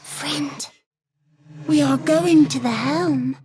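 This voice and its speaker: High, slow, eerie hive-minded creature. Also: female voice, a little mellow. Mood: devoted, reverent. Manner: slow, halting, clipped sentences. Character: eerie childlike intellect devourer creature, devoted hive-minded, urgent. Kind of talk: video game voice acting.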